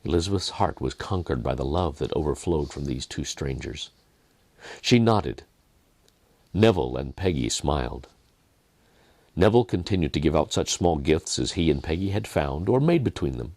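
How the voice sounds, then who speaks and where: A man reading his own Christmas story in a dramatic, expressive way, with deliberate pauses. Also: rumbling low, no room sound. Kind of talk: scripted Christmas story narration.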